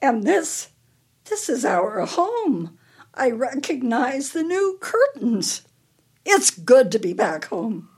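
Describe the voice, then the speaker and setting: A confused older wife in a radio drama, with a high, widely swinging voice. She speaks slowly and moves between accusation and childlike politeness. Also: sing-song, darkish tone, husky, exaggerated voice. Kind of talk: radio play acting.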